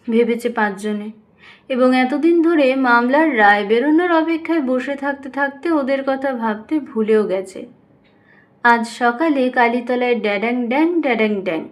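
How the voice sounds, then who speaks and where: High-pitched woman reading fiction. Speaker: audiobook reader. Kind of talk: scripted narration of literary fiction.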